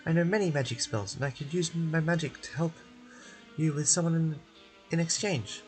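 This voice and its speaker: A man voicing a polite storybook witch who pleads slowly and courteously. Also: fairly high pitch, faint music behind, pitched above the performer's own voice, natural voice. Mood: polite pleading.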